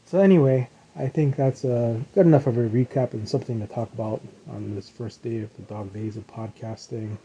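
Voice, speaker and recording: A man hosting his own podcast in a casual, rambling solo chat, his voice a little rough from a post-nasal drip. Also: mid-range voice, fairly quick, hardly any pauses, mellow, a little hiss or hum, low-quality recording. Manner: rambling, self-correcting, chuckles now and then. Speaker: podcast host.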